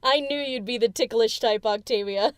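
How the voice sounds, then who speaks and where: A woman's voice: a little high, moderate pace, dry recording, studio quality, lightly acted. A woman playing a brash, cheeky female DJ pony who talks in a casual, cocky, teasing way in an audio drama. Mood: gleeful. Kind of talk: fan audio drama character acting.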